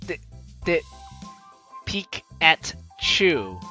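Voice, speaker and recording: An excitable, playful let's play host who stammers and interrupts their own reading of game text. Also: mid-range voice, extremely slow, over music. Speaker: gaming video host.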